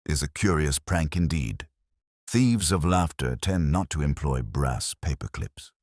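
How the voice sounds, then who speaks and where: Man playing a very deep, quiet, shrewd inspector. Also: expressive, no room sound, clean studio sound, heavily acted. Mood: dry, pensive. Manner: soft, murmuring, deliberate. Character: quiet, shrewd, composed male police inspector.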